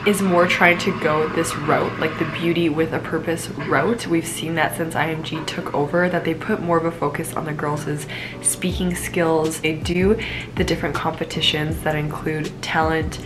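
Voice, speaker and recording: A woman's voice: deep voice, level tone, normal speed, music in the background, rough recording. Stuffy-nosed young woman chatting to camera. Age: young adult. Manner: bubbly, frequent "like", rambling asides. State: stuffed up with a cold. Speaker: pageant vlogger. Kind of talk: casual chatty review to camera. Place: small indoor room at home.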